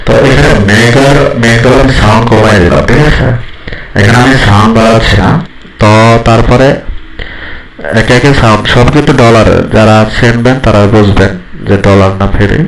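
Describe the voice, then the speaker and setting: A man's voice: lowish voice, moderate pace. A man casually showing off microphones for sale, chatty and repetitive, often saying hello and okay. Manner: chatty, repeats hello and okay as he tests mics. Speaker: seller demonstrating microphones. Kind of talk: casual product demo vlog. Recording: echoey room with loud noise.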